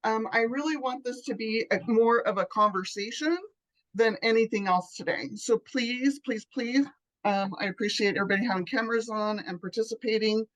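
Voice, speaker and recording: A woman's voice: fairly high pitch, normal speed, a bit bright, rough recording. Brief: female guest presenter, modest, hesitant, conversational, call mic. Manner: modest, hesitant, many ums. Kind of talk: casual presentation.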